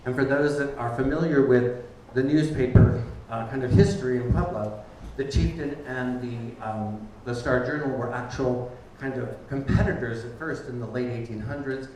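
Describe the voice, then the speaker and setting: A man's voice: medium pitch, moderate pace. A man hosting a live community event in the back room of a bar, giving loose, chatty opening remarks with many ums. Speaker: event host. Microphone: handheld microphone from a distance. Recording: echoey room, far from the mic, faint noise.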